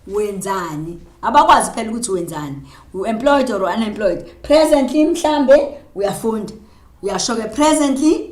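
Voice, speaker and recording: A woman teacher with a Zulu South African accent, mixing Zulu and English as she dictates slowly while writing. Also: a little high, darkish tone. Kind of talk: classroom lesson on job applications. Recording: roomy, echoey room.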